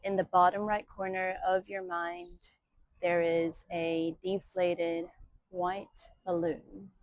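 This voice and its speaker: A woman yoga teacher leads a guided meditation at a very slow pace. She speaks soothingly in short phrases, leaving long pauses between them. The sound is dry and close, with little room on it. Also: fairly low pitch, little pitch movement, dry recording, highs cut off. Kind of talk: guided meditation and breathing instruction.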